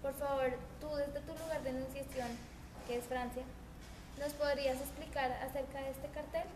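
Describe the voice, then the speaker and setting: A woman's voice: high voice, level tone, normal speed. A woman presenting a history documentary, reading earnestly with small stumbles and restarts. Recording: noisy homemade recording.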